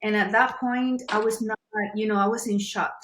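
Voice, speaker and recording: A woman with a Venezuelan Spanish accent speaks as a guest in a casual podcast interview. Spanish is her first language, and she speaks earnestly, pausing to find her words. Her voice bounces around a reverberant room. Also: medium pitch, fairly even intonation, a bit bright, slight background noise. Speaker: guest, journalist.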